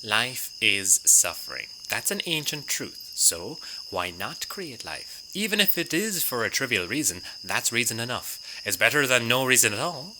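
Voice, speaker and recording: An actor playing a mischievous, contrary imp in a radio play, with a playful, theatrical, argumentative delivery. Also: male voice, medium pitch, very animated, normal speed, few pauses, noisy background, natural voice. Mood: provocative. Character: mischievous, contrary male imp who loves idle provocation. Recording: music and insect chirps behind voice.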